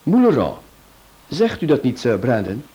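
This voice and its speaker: An analytical detective, played by a man in an old radio play. He reasons in a measured, mellow voice with a lively pitch. The sound is dull and lo-fi. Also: husky, heavily acted. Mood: explaining. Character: clever male detective, analytical. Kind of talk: vintage radio play acting.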